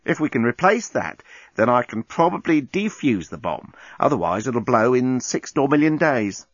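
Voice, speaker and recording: A man's voice: mid-range voice, very animated, normal speed, no room sound, muffled recording. A man voicing a matter-of-fact bomb disposal expert, explaining plainly in a storybook reading. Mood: serious.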